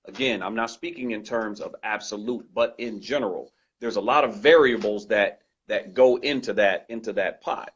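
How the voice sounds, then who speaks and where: A man with a loud voice speaking earnestly and emphatically as a guest in a conversational discussion, often repeating his points. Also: on the low side, normal speed, few pauses, slightly rough. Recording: dull lo-fi recording.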